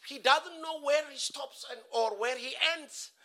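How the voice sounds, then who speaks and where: A man in his forties from Ethiopia, with an Ethiopian accent, preaching a sermon in halting, simple English in short phrases for an interpreter. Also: very high voice, a bit bright. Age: 40s. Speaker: guest pastor.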